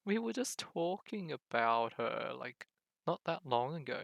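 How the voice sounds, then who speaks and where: A man doing casual live gaming commentary as a streamer, reacting in an animated, easily exasperated way. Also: medium pitch, measured pace, gravelly, dry recording.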